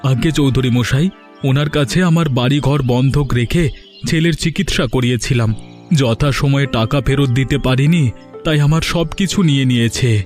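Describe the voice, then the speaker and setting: A man's voice: mid-range voice, normal speed, a little mellow, faint music behind, close to an everyday voice. A man voicing a humble, hopeful poor village father in a story cartoon, earnest and deferential. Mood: humble pleading. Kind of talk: Bengali moral story cartoon dubbing.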